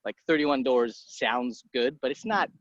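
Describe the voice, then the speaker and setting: A man in his thirties from Egypt, a podcast guest talking casually and bluntly, swearing freely and tossing off quick asides. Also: mid-range voice, no echo. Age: 30s. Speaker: guest, entrepreneur. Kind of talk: casual interview talk. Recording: dry muffled podcast audio.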